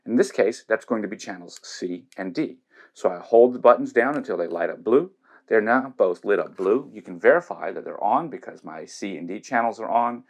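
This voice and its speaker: A man's voice: lowish voice, lively intonation, moderate pace. A man giving a methodical, step-by-step hands-on demonstration of audio equipment. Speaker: tech reviewer demonstrating gear.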